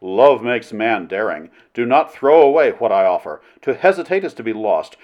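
Bold adventurer hero, voiced by a male reader: impassioned, archaic oratory, very animated pitch, slightly dull sound. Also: moderate pace. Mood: enthusiastic, persuasive. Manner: impassioned, declamatory, archaic formal speech. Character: bold, cunning adventurer hero wooing a princess. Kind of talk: audiobook character voice in old adventure romance.

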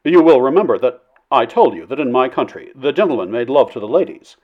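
Storybook gentleman visitor, read by a man: courteous, flustered, wry, animated mid-range voice. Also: medium pitch, brisk, dull audio, lightly acted. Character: Flynn, polite, flustered male visitor being courted. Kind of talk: audiobook character dialogue.

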